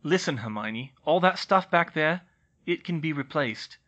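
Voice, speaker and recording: A gentle, steady father voiced by a man who soothes and reassures in a bright voice with lively pitch. He sounds kind but firm. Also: measured pace, dull audio, heavily acted. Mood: reassuring.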